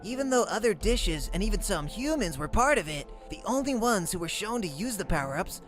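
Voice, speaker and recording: A performer voicing an earnest, bookish young male cup creature in a comic dub, lecturing precisely and excitably. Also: mid-range voice, a little fast, over music, close to an everyday voice. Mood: explanatory.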